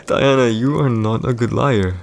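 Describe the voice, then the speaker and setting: A man's voice: medium pitch, gravelly. A man playing a haughty, teasing villain emperor in an ASMR audio roleplay, with formal, condescending, old-fashioned diction. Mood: teasing, smug.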